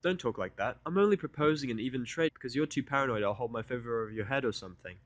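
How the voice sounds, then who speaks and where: A man's voice: mid-range voice, expressive, fast talker, no room sound, lightly acted. A man voicing a bookish young female pony, persistent and polite, in an earnest, coaxing manner. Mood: countering.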